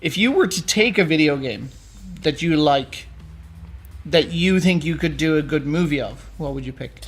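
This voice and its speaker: A man's voice: on the high side, lively intonation, moderate pace, slightly rough, soft background music. A man co-hosting a casual discussion show, thinking aloud in a slightly hesitant conversational way. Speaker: co-host of a film and games discussion show.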